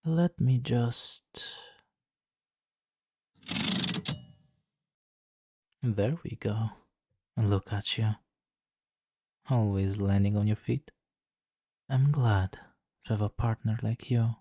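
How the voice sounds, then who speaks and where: A man's voice: fairly low pitch, slow, pauses often, dark tone, no echo, clean studio sound. A man playing a suave, manipulative supervillain in an ASMR roleplay, silky and theatrically polite, smugly doting and sometimes slipping into whispers. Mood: amused, fond. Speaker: audio roleplay performer. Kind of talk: ASMR roleplay, hypnotic countdown.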